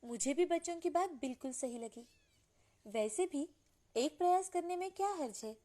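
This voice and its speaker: A woman voicing a supportive, poor village wife and mother with a gentle, obliging manner in an animated moral story. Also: sits high, normal speed. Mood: concerned, agreeing.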